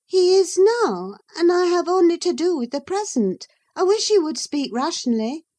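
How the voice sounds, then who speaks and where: A woman's voice: extremely high pitch, sing-song, moderate pace, warm tone, pitched above the performer's own voice. A woman reading an audiobook, voicing a passionate, willful young woman who speaks with emphatic feeling. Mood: insistent. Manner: impassioned, emphatic.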